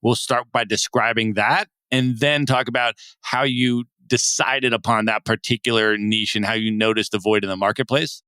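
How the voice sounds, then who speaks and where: Bright, crisp-voiced male podcast host. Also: medium pitch, fairly even intonation, normal speed, hardly any pauses, no echo. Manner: enthusiastic, rephrases questions at length. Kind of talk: interview questions.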